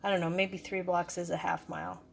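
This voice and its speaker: A woman's voice: fairly low pitch, flat delivery, fairly quick. A woman giving a casual, hands-on product review, explaining things in a hesitant, unscripted way. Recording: clearly noisy background.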